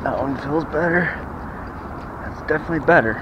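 A man with an American accent mutters offhand, self-mocking remarks while fishing from a pond bank. His voice sits a little high. It comes through a dull, muffled action-camera mic with plenty of outdoor noise around it. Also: fairly quick. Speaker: fishing vlogger. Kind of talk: casual running commentary while fishing. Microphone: chest or head-mounted action camera.

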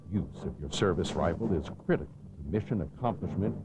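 An American man reading scripted instructional narration for a training film, measured, authoritative and clearly enunciated. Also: sits low, lively intonation, background noise. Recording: dull, lo-fi 1990s videotape soundtrack.

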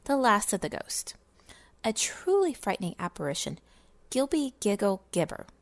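A woman's voice: mid-range voice, big pitch swings, moderate pace, vocal fry, dry recording. A woman voicing a pompous, scornful miserly businessman in a dramatic storybook reading of fantasy game lore. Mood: scornful, knowing. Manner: theatrical, pompous, scornful delivery. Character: miserly, sneering, self-satisfied businessman narrating holiday memoir.

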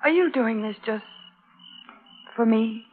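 A woman's voice: a little high, slow pace. An actress playing an anxious, pleading homesteader wife and mother in an earnest old-time radio drama style. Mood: anxious, tender. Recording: muffled, slightly noisy vintage radio recording.

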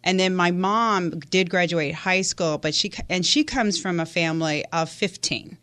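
A woman's voice: on the low side, normal speed, a bit bright. A woman from Houston, Texas, a guest on a radio interview, telling her story in a chatty way with many fillers. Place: radio studio.

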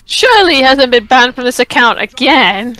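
A woman's voice: high voice, expressive, moderate pace, bright, forceful tone, dry recording. A woman chatting casually with friends while playing an online game. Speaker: gamer in a group voice chat. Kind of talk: casual gaming chat with friends.

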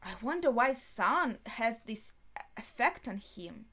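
A woman in her twenties reacting casually on camera in a small room, thinking aloud in a halting way. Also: a little high, animated, pushed and bright. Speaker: reaction video host. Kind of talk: casual spoken reactions to an anime episode. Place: small bedroom, indoors.

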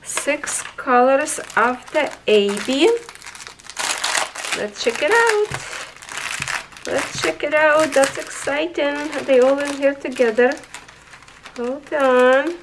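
Slow, high-voiced hoarse woman reviewer. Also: lively intonation, slight background noise, poor audio. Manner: chatty, enthusiastic, talks to viewers as friends. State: hoarse, losing her voice. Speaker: craft reviewer. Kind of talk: casual unboxing review. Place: indoors at a tabletop.

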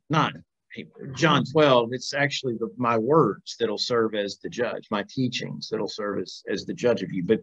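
A man's voice: mid-range voice, fairly bright tone. A middle-aged man teaching an informal Bible class over a video call, reading aloud and commenting conversationally with questions to the class. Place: small plain room, indoors. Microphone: video call, computer microphone. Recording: dull, lo-fi video call audio.

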